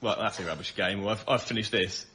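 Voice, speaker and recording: Fast-talking, bright-voiced Englishman. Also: lowish voice, a little hiss or hum. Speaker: panel show guest. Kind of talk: comic anecdote.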